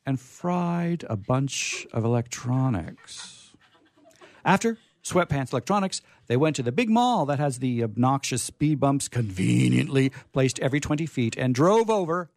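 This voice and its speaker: A man's voice: medium pitch, gravelly, a little mellow, no room sound. A man hosting a radio show, reading short comic stories aloud and chatting in a playful, theatrical way from a studio.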